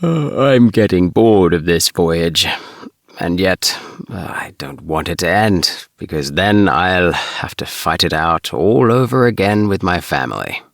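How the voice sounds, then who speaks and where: A man voicing an easygoing, chatty young alien spaceman in a storybook, with relaxed, hesitant phrasing. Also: low voice, unhurried, vocal fry, dry recording, studio quality, heavily acted. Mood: weary, confiding. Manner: relaxed, with sighs and hesitant uhs.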